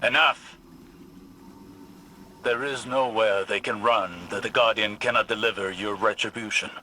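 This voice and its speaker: Stern scholarly warlock commander, noisy backdrop. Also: male voice, fairly low pitch, fairly even intonation, a little slow, sharp tone. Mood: commanding. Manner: formal, authoritative, precise. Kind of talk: video game voice acting. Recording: noisy sound effects behind voice.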